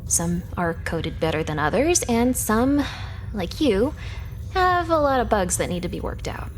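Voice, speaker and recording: A woman's voice: medium pitch, very animated, a little slow, slight background noise. An actress playing a sardonic, teasing young female hacker in a sci-fi video game, speaking in a matter-of-fact way. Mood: teasing, dry. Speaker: video game character actor. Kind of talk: scripted video game character dialogue.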